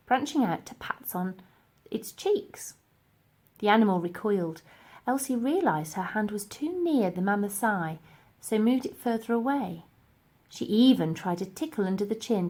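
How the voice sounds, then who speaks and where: A woman reading a children's story aloud in an expressive narrating style. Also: mid-range voice. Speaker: storybook reader.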